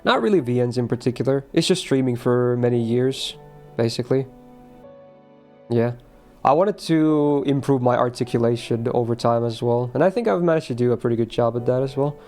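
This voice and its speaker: Mellow-voiced streamer, slight Finnish accent. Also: male voice, medium pitch, a little fast, faint music behind. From Finland. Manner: chatty, answers chat, self-aware asides. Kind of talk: casual game-stream commentary.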